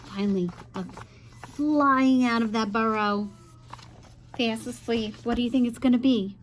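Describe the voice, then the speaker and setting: High-voiced woman teacher reading a picture book. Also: a little slow. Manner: expressive, chatty asides to young listeners. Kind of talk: children's picture book read-aloud with asides.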